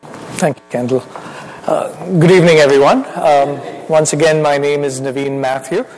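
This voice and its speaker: Indian accent guy, middle-aged, speaking at church, lots of ums, bit higher voice, big hall echo. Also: steady tone, darkish tone. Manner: halting, modest, with many fillers. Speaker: guest speaker. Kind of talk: church talk. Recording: hall reverb.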